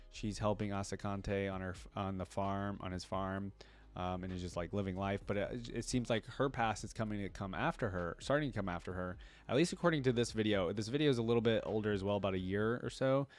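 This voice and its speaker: A man hosting a reaction channel, giving casual off-the-cuff commentary in a rambling, conversational way, thinking aloud. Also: fairly low pitch. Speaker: reaction video creator, channel host.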